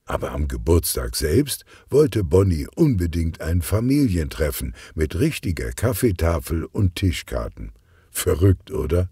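A man narrating a children's audiobook in an expressive, scripted storytelling style. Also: low voice, a little slow, breathy edge, dry recording, studio quality.

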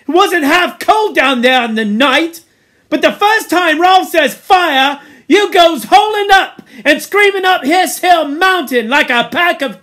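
Woman acting a shrill, indignant young schoolboy. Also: a bit bright, heavily acted. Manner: ungrammatical, shrill protesting tirade. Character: indignant panicky young schoolboy, whining and scolding.

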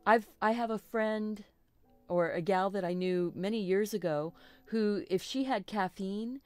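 A middle-aged woman with a slightly froggy voice, hosting a relaxed live painting lesson and chatting reassuringly with little asides and self-corrections. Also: mid-range voice, no echo. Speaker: art teacher hosting a live stream.

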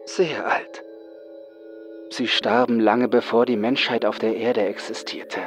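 A man's voice: mid-range voice, steady tone, slow pace, faint music behind, voice pushed up, lightly acted. Man acting a haunted, frightened young psychiatric patient. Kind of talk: horror audiobook acting.

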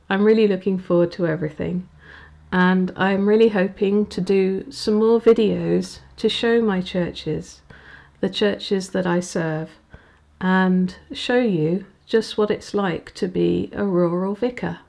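A woman in her forties, a vicar, chatting to camera in a small room at home, with small halting restarts. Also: medium pitch, fairly even intonation, gravelly, darkish tone. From England. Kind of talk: casual vlog to camera. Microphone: handheld recorder near the camera.

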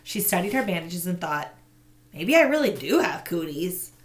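A woman in her thirties, a teacher, reading a children's novel aloud at home into a laptop webcam, lifting her voice for the characters' lines. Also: moderate pace. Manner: expressive, pitches up sharply for characters' shouted lines. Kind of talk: children's book read-aloud. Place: small home room indoors. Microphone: laptop webcam mic.

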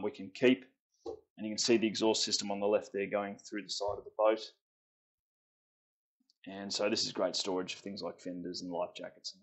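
Aussie guy, lowish voice, chill boat tour, just wandering round the cabins showing stuff. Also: poor audio. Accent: Australian. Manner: relaxed, chatty, pointing out features as he walks. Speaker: boat owner giving a tour. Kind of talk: casual walkthrough tour. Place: inside a timber boat's cabins.

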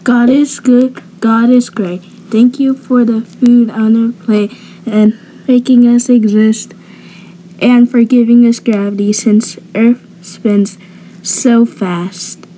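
A woman's voice: on the high side, little pitch movement, slow, mellow, slight background noise, low-quality recording. A woman acting out lines in a homemade cartoon roleplay.

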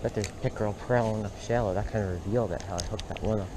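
A man's voice: fairly low pitch, fast. Young man mumbling on a fishing trip. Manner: relaxed, muttering asides to viewers. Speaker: fishing vlogger. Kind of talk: casual outdoor narration. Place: outdoors by a lake and river. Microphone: head-mounted action camera. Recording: noisy outdoor action-camera audio. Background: outdoor ambience.

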